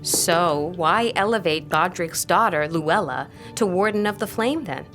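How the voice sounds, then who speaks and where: An actress playing an earnest, courteous tutor in an audio drama, speaking in a measured way. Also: female voice, fairly low pitch, expressive, over music. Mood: instructive. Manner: measured, didactic, polite.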